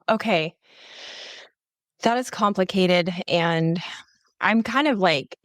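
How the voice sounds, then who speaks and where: A woman's voice: on the low side, dry recording, studio quality. A woman hosting a podcast, interviewing a guest in a conversational way.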